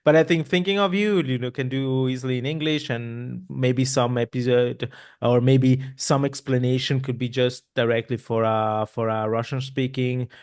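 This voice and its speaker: A man hosts a casual podcast interview from a home room, speaking into a boom mic over a video call. He rambles loosely, backing up and restarting his sentences as he goes. His voice sits at an ordinary middle pitch for a man. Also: moderate pace, clear, resonant tone. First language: Italian. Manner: rambling, many restarts and fillers, animated hand gestures. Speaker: podcast host. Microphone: boom mic with headphones, video call.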